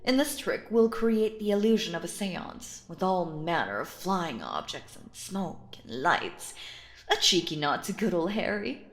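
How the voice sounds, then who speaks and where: A woman playing a sinister, possessive stage magician in a roleplay audio, speaking theatrically and coaxingly in a slow, silky manner. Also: fairly low pitch, big pitch swings, reverberant. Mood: showy, commanding. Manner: theatrical, slow, silky, addresses listener as dear. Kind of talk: scripted roleplay audio acting.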